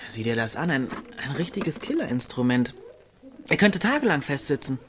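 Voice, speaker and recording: A man's voice: mid-range voice, sing-song, normal speed, fairly bright tone, a little hiss or hum. A man playing a restless, self-doubting teenage village boy in a naturalistic German radio drama. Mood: sly, amused.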